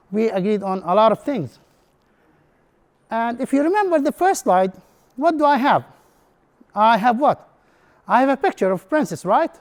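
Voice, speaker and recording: Man with high voice, Arabic native speaker. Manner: non-native English, asks the audience questions. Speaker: instructor giving a presentation. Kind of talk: prepared talk to an audience. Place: room with an audience.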